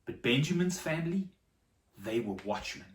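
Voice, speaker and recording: A storyteller telling a Bible story to children, with dramatic pauses and repetition for emphasis. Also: medium pitch, animated. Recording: echoey room, distant microphone.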